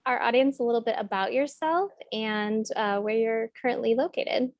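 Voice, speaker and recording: A woman's voice: fairly high pitch, moderate pace, no echo, clean studio sound. A woman hosting an interview over a video call, asking questions in an upbeat, encouraging way. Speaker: host.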